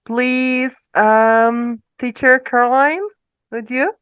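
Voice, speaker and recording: A woman teacher with a fairly high, smooth, resonant voice hosts an online speaking club over a video call. She sounds upbeat and welcoming as she invites each person to speak. The sound is dry and close. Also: fairly even intonation, takes it slowly, fairly bright tone, no echo, highs cut off. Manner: upbeat, welcoming, calls on each participant by name. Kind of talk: friendly hosting on a video call.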